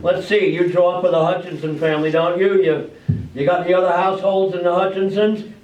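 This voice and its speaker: An orderly small-town official played by a man gives folksy, slightly halting instructions in a highish male voice. It is a stage reading, muffled and distant in an echoey room. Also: level tone, a little hiss or hum, natural voice. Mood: orderly, businesslike. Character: brisk, orderly small-town official running a public drawing. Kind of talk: amateur stage readers theater acting. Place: library room, staged performance.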